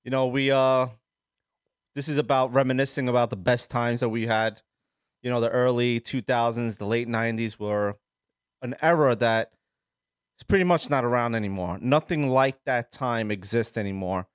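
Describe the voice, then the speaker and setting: Sicilian-born New Yorker in his thirties, loose and animated podcast co-host, mid voice, dry mic. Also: medium pitch, moderate pace, clean tone, no room sound. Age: 30s. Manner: rambling, animated storyteller, swears. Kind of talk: casual chat.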